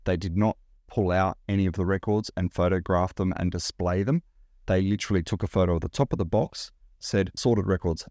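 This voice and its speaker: A man in his forties with an Australian accent, talking straight to camera about reselling in a blunt, animated way. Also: sits low, no room sound, studio quality. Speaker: reseller vlogger. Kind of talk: direct-to-camera vlog talk. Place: indoor room by large window.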